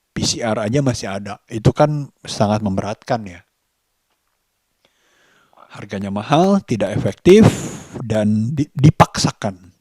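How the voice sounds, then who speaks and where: A man speaks at a slow pace in a casual vlog monologue. His delivery is halting and thoughtful, with gaps in the middle of sentences. His pitch is mid-range and the sound is dry, with very little room. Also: a little mellow, no echo. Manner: halting, reflective, with long pauses mid-sentence. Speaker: vlogger.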